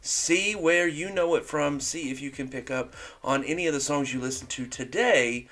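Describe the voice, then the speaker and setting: A man's voice: on the high side, normal speed, fairly bright tone. A man hosting a music reaction show, chatting casually and upbeat between his comments. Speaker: host of music reaction channel.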